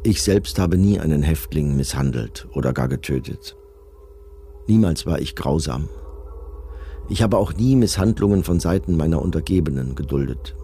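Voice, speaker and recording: A man's voice: extremely low pitch, lively intonation, normal speed, warm tone, faint music behind. An actor playing a cold camp commandant reading his testimony in a matter-of-fact, self-justifying manner. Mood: self-righteous, cold.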